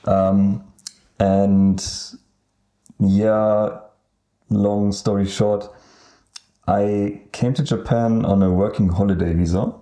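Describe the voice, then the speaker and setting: A young man from Germany with a German accent, co-hosting a casual podcast and talking hesitantly with many pauses. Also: on the low side, flat delivery, dark tone. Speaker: podcast co-host. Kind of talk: casual chat.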